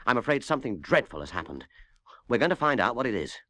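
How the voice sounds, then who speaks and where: A man's voice: mid-range voice, sing-song, quick pace, sharp tone, dry recording, clean studio sound. A man reading a storybook and voicing a stern, firm father. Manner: stern and commanding. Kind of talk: storybook character voice.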